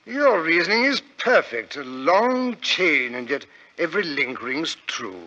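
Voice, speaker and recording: A man acting a genial, bumbling British gentleman sidekick in a 1940s radio drama, with an eager, hesitant, stammering manner. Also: fairly high pitch, sing-song, unhurried, exaggerated voice. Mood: curious, admiring. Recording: dull, lo-fi vintage radio recording.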